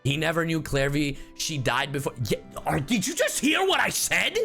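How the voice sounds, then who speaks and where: A man livestreaming a game with casual commentary, rambling in an animated way and swearing freely. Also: a little high, normal speed, creaky, soft background music.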